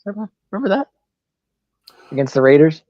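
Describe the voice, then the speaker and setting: Man, slightly high voice, hugely varied pitch, easygoing co-host, casual sports chat, dry lo-fi sound. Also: very animated, fast talker, no echo. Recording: dull lo-fi microphone sound.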